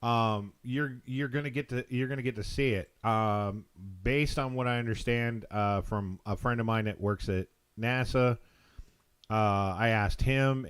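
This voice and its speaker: An American man hosting a live call-in show, talking casually in a rambling, halting, informal way. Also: lowish voice, smooth. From Texas, United States.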